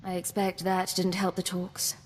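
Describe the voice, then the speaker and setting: A lowish, reverberant voice of a wry, self-possessed female secret agent played by a woman. It is video game dialogue with some noise behind it. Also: completely flat delivery, moderate pace, close to an everyday voice. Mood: concerned. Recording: long reverb, noisy game ambience.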